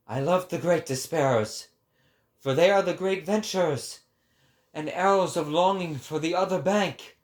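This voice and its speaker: Impassioned male prophet, acted by a man, raised pitch, very slow delivery, grandiose, declamatory, dramatic philosophical reading.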